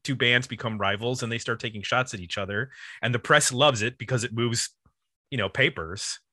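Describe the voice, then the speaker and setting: A man's voice: mid-range voice, a little fast, bright, forceful tone, no room sound, studio quality. A man hosting a music podcast, casually explaining the history of an album in an informal, loosely structured way.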